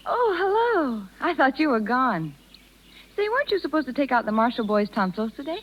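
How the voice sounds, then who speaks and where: An American actress playing a sweet, hesitant young circus rider in a 1930s radio drama. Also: female voice, high-pitched, very animated, normal speed. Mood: bright, surprised. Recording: dull 1930s radio recording.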